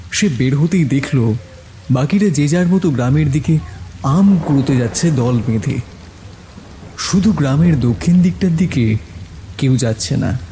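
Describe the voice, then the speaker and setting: A man's voice: medium pitch, mellow, a little hiss or hum. A man narrating a scripted horror story in a suspenseful storytelling style. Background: background music.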